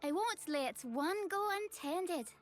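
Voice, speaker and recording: An earnest little fawn spirit for a video game, very high and animated. She is shy and gentle, exclaiming softly over faint music. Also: slow, heavily acted. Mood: tender, hopeful. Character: shy, earnest young female fawn spirit tending dreams.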